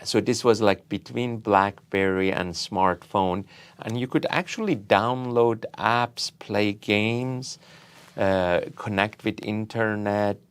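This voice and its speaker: A man in middle age or older, from Iran, answers as a founder being interviewed. His voice sits on the low side and he speaks very slowly. He picks his phrases deliberately, with halts, in a conversational storytelling style. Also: clean tone. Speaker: interview guest, tech company founder and CEO.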